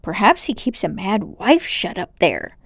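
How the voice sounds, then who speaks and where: Woman voicing a spirited, curious girl, lively pitch. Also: measured pace, slightly rough, no echo, clean studio sound, full character voice. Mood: curious, excited. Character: spirited, curious young girl, indignant yet sympathetic. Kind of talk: storybook character voice.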